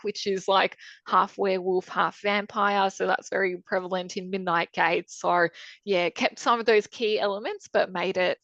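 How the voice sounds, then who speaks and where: A woman's voice: mid-range voice, fairly bright tone, no room sound, lo-fi sound. A woman author, talking in a casual interview, thoughtful and chatty with many ums and restarts. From Croatia. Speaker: author guest.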